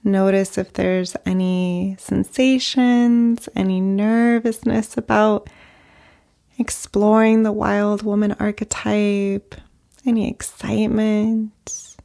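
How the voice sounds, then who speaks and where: Female meditation guide, soft and soothing, dark mellow tone, unhurried delivery in short, spaced-out phrases. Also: mid-range voice, flat delivery, gravelly. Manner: gentle, unhurried, with long pauses between short phrases.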